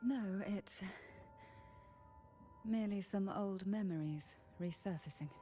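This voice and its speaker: An actress playing a calm, mysterious woman companion in a fantasy video game, speaking in a measured, poetic, soothing way. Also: medium pitch, lightly acted. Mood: quietly reassuring. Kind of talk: dramatic video game voice acting. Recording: game music behind the voice.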